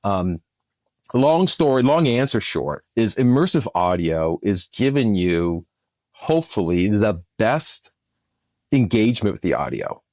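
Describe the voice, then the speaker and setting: A man's voice: on the low side, very animated, darkish tone, dry recording. A man giving enthusiastic explanations as an interview guest, often restarting his phrases as he goes. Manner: enthusiastic, halting with repeated starts. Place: remote recording over internet software.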